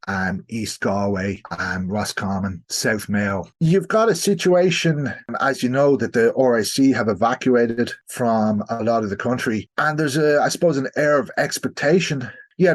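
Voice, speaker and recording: A man with an Irish accent, a historian guest explaining history on a podcast in a measured way, with hedges and asides. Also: mid-range voice.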